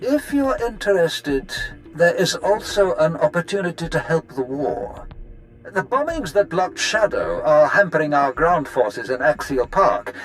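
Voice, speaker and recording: A courtly older Sith lord speaks in a slightly high voice with wide, swooping inflection. He is pompous, measured and scheming, in video game cutscene style, with faint music behind him. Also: very animated, rough recording. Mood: conspiratorial, composed.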